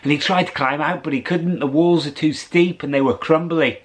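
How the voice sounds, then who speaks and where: A middle-aged man telling a children's story, dramatic, dropping to whispers and rising high for effect. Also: fairly even intonation, normal speed.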